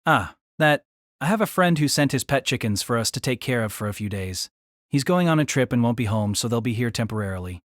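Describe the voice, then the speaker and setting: A man's voice: mid-range voice, lively intonation, brisk, no room sound, studio quality, pitched below the performer's own voice, natural voice. A man playing a nervous, polite young male mage with a hasty, evasive manner in an audio drama. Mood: flustered, polite. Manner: hasty, excuse-making. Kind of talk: light novel audio drama character reading.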